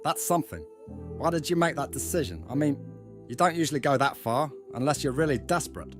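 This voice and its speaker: A man voicing a kindly bar owner who calmly asks what is troubling the hostess, in an anime-style dub. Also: on the high side, brisk, soft background music, lightly acted. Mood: concerned. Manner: calm, concerned.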